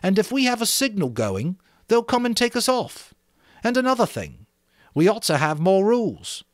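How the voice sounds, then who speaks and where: A man's voice: sits high, very animated, moderate pace, no room sound, voice pushed up. A man voicing an earnest, authoritative schoolboy chief with an urgent way of talking, in a storybook reading.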